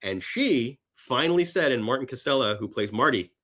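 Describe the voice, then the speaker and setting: A man's voice: mid-range voice, animated, moderate pace, fairly bright tone. A man telling film-history anecdotes casually and emphatically as a podcast guest, often slipping into quoted dialogue.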